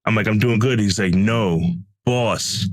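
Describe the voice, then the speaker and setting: A man co-hosting a podcast, telling stories in a relaxed, deep, calm voice with lots of fillers, recorded at home. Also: unhurried, dry recording, clean studio sound. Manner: relaxed storytelling with many fillers. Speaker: podcast co-host. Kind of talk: casual podcast chat. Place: home closet room.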